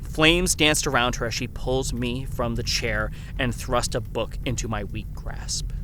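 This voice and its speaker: A man's voice: mid-range voice, steady tone, measured pace, sharp tone, slight background noise, poor audio. An actor playing a haunted, earnest survivor who recounts his ordeal in grave, formal, theatrical narration for a horror audio drama. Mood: tense, haunted. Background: music.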